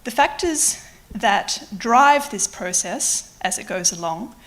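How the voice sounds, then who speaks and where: A woman in her thirties from Adelaide, Australia, with an Australian accent touched by British, giving a public science lecture in a clear, explanatory way with light self-deprecating asides, into a microphone in a lecture hall. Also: medium pitch, animated, slow, a bit bright. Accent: Australian with slight British influence. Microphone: microphone at a lectern or on her.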